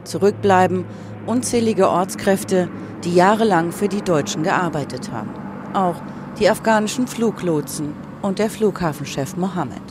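A reporter calmly narrating a documentary news piece. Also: mid-range voice, normal speed, background noise.

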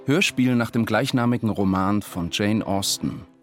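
Male credits announcer. Fairly low pitch, formal reading, soft music behind. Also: normal speed.

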